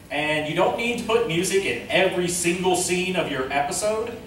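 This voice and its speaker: A man gives a casual how-to panel talk, explaining things step by step. He is heard from a distance in a very reverberant room, with faint noise behind him. His voice sits at an ordinary middle pitch. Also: a bit bright. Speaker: panel presenter. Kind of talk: instructional convention panel talk. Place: indoor convention panel room, recorded from a distance.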